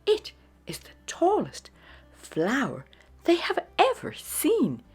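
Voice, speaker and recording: Very slow, expressive woman storyteller. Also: high voice, faint music behind. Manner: dramatic, with hushed phrases and sudden exclamations. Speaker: storyteller and host of a children's read-aloud show. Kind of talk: expressive children's storybook read-aloud.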